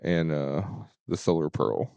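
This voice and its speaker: A man talking casually as a game streamer, in a halting way with repeated and stuttered words. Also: a bass voice, vocal fry, mellow, clean tone, dry recording, dull audio.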